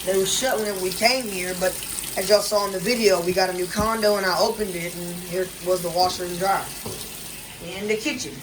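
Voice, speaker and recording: A man's voice: high voice, steady tone, a little fast. Rambling man over running water. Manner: rambling, hesitant, lots of fillers and restarts. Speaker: vlogger. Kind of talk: casual home walkthrough vlog. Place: indoor condo kitchen by a running washer. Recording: noisy with running water and appliance sounds.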